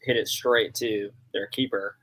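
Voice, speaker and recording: A man's voice: fairly low pitch, fairly even intonation, unhurried, a bit bright, poor audio. A man co-hosting a podcast, talking casually about sports. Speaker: podcast co-host.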